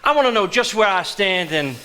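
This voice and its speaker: A greedy, blustering husband. His voice sits high and swings widely in pitch. He is indignant and quick to sputter about what he is owed. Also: lively intonation, pushed and bright. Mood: suspicious, demanding. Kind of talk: live stage play acting.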